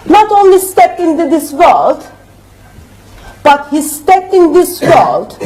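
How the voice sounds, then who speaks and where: A woman with a high, light voice delivers a debate rebuttal in English, which is not her first language. Her phrasing is earnest, emphatic and a little unidiomatic. She speaks to a room at a university debate. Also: darkish tone, poor audio.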